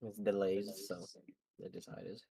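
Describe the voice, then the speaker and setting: A man's voice: fairly low pitch, flat delivery, unhurried, mellow. A man in casual chat as a player on a group game stream.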